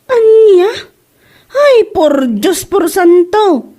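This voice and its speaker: A woman with a high voice plays a nagging mother, her pitch jumping wildly as she complains. Faint music plays under her in this comic audio drama. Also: big pitch swings, drawn-out words, mellow. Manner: exasperated and nagging, rises sharply in pitch. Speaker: scolding mother. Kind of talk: comedic audio drama acting. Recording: music bed behind the voice.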